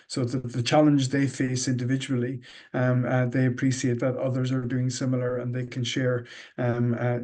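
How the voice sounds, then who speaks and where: A man's voice: mid-range voice, darkish tone. A middle-aged man with an Irish accent, answering interview questions thoughtfully with many ums and uhs. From Ireland. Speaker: guest, managing director.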